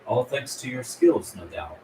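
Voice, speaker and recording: A man reading the part of a formal, calculating accountant who negotiates in measured, condescending phrases at a script table read. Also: fairly low pitch, lively intonation, mellow, lightly acted. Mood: cool, formal. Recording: roomy echo with clear background noise.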